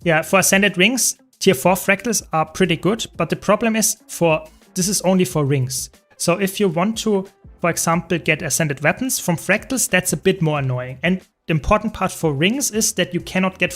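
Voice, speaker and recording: A man's voice: high-pitched, normal speed, faint music behind. A young man from Germany with a German accent, chatting casually with viewers while playing a game on a live stream. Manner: answers chat questions while playing, relaxed and talkative.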